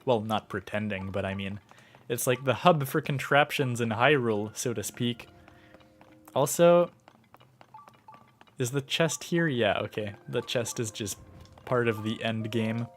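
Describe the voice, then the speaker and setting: A man's voice: medium pitch, unhurried, long pauses, soft background music. Sick man rambling over gameplay. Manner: rambling, musing aloud with many fillers. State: sick, starting to lose his voice. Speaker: gaming livestreamer. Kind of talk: casual gameplay commentary.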